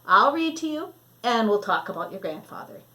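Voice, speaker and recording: A woman voicing a kindly, caring grandmother in a storybook, gentle and hospitable. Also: on the high side, sing-song, normal speed. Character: kindly, caring grandmother hosting a stranded boy.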